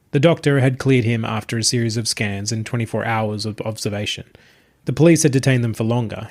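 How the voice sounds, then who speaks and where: A man's voice: mid-range voice, a little mellow. A man narrating a scripted short story as the host of a fiction podcast.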